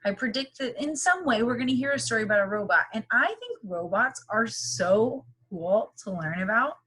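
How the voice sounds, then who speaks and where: A woman's voice: fairly high pitch, animated, moderate pace, fairly bright tone, slightly rough, rough recording. A woman teacher leading a read-aloud lesson for young children, with instructive, question-filled, encouraging phrasing.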